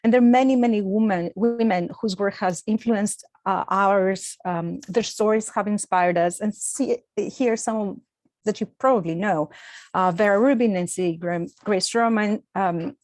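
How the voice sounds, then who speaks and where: A woman's voice: mid-range voice, moderate pace, darkish tone, dry recording. Greek-accented woman with a dry close sound. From Greece. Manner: earnest, with frequent uh and um fillers. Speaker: astronomer giving a talk. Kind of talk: presented talk with slides.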